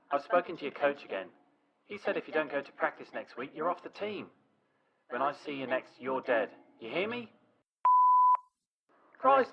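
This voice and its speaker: Furious dad guy acting chewing out his kid, super gravelly, muffly lo-fi voicemail, radio play. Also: a little high, a little hiss or hum, exaggerated voice. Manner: threatening, scolding. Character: furious, domineering father berating his teenage son. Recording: dull lo-fi voicemail with beep.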